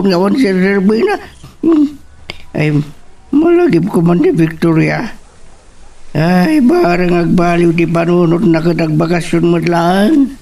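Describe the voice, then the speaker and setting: A man's voice: high-pitched, creaky, darkish tone, far from the mic, heavily acted. A man playing a fretful character muttering to himself with exclamations in an Ilocano radio drama.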